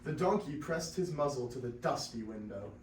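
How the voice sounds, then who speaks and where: A man's voice: mid-range voice, animated, normal speed. A teenage boy narrating a scripted story as part of a classroom reader's theater. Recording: echoey classroom, distant mic, faint noise.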